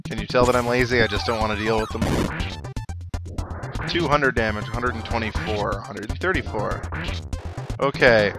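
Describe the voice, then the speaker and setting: A man's voice: lowish voice, moderate pace, pauses often, loud music behind the voice. A man giving casual video game commentary as a let's player, with wry, self-deprecating asides.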